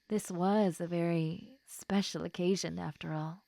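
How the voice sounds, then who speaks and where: A woman playing a tipsy, giddy, lovestruck schoolgirl in an intimate roleplay audio drama, with soft murmurs and a drawn-out, affectionate delivery. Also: fairly low pitch, creaky, no echo. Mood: earnest, tender.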